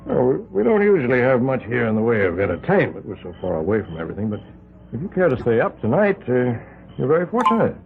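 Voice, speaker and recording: An actor playing an eerily hospitable host of a remote house in a radio drama, hesitant and politely insinuating. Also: male voice, mid-range voice, wildly swooping pitch, quick pace, vocal fry. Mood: sly hospitality. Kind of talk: old-time radio drama acting. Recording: tinny, phone-like old radio recording.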